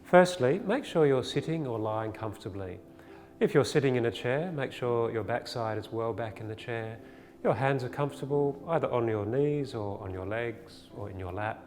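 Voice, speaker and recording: A man teaching a guided body scan meditation, giving gentle, soothing, unhurried instructions with pauses. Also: on the low side. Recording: long reverb, faint music behind.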